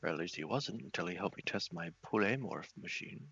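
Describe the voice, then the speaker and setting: A man's voice: low voice, expressive, brisk, gravelly, fairly bright tone, husky, lo-fi sound, soft background music, voice pushed down, exaggerated voice. A man voicing an eccentric, polite, muddled scientist with fussy speech in an ASMR game roleplay. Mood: matter-of-fact, genial.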